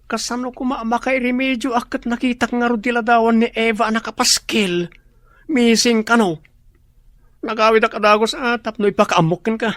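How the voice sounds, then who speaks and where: A man's voice: very high-pitched, steady tone, normal speed, a bit bright, no echo, studio quality. An actor playing a bitter man recovering in hospital, speaking in a grim, resentful way in a radio drama. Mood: agitated.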